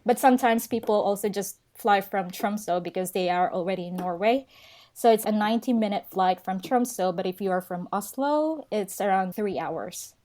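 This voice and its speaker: A woman's voice: mid-range voice, moderate pace, mellow. A young woman who speaks natively, chatting casually as a guest in an interview and often laughing. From Philippines. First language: Filipino. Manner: laughs often, friendly and chatty, mixes in Norwegian words. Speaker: guest, local travel expert.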